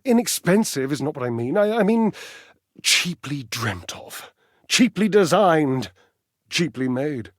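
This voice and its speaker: An actor playing a vain, athletic talking appliance in a dating game, pompous and petulant, with flamboyant, condescending, wordy delivery. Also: male voice, on the high side, dry recording, clean studio sound. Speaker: video game character actor. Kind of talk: theatrical dating-game character acting.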